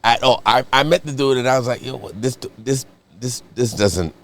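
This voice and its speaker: A man's voice: medium pitch, moderate pace, a bit bright. A man co-hosting a podcast, teasing and arguing in casual chat. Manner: teasing, argumentative. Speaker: podcast co-host.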